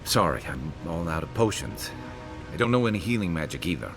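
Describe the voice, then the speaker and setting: A man playing a gruff, sardonic, laid-back adventuring companion in a video game, with a gravelly voice. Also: sits low, sing-song, a little fast, music in the background, heavily acted. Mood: matter-of-fact.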